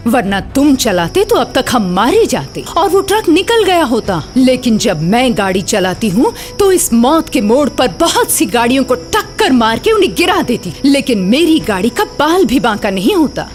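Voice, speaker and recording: Woman acting a bossy, menacing ghost woman, high-pitched. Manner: commanding, insistent. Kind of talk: Hindi animated horror story voice acting.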